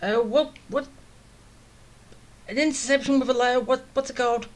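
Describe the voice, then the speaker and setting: A woman's voice: sits high, normal speed. A woman giving casual, informal playthrough commentary, reacting and musing as she goes. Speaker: game streamer. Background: game music.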